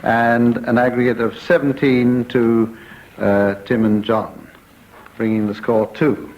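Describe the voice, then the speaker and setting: A man's voice: medium pitch, flat delivery, slow pace, muffled recording. A British man chairing a comedy radio panel show in a hesitant, dry, mock-formal manner. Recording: noisy old radio recording with clicks.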